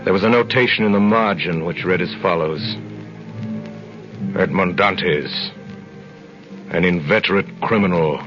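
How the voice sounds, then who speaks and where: Casting: brooding aristocratic avenger, deep voice, stately 1930s radio delivery, dull vintage broadcast sound. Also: male voice, fairly bright tone. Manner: measured, grave, theatrical. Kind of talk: 1930s radio acting and dramatic narration.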